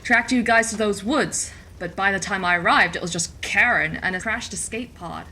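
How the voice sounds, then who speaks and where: A woman playing a tough, confident military commander in a superhero radio play, speaking briskly. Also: mid-range voice, sharp tone, slight background noise, lightly acted.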